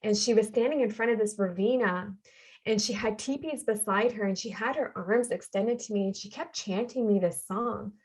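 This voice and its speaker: A woman's voice: mid-range voice, moderate pace, darkish tone, slightly rough, rough recording. A woman, a podcast guest earnestly telling a personal story and repeating phrases for emphasis. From Canada.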